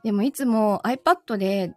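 A woman's voice: medium pitch, moderate pace, no room sound, soft background music. A woman hosting a casual livestream, chatting and teasing in a giggly way while she greets commenters. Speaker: livestream host and voice actor. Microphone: microphone through an audio mixer.

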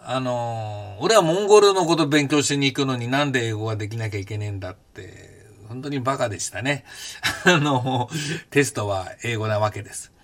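A man in his fifties, hosting a podcast and recounting his past in a casual, blunt and animated way. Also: mid-range voice, moderate pace. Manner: animated, blunt storytelling with rough casual speech. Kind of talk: casual spoken memoir.

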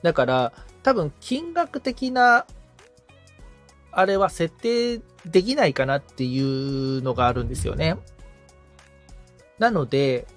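Man thinking aloud with lively pitch. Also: takes it slowly, long pauses, soft background music. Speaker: podcast assistant, guitar repairman. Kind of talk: casual consultation, asking questions.

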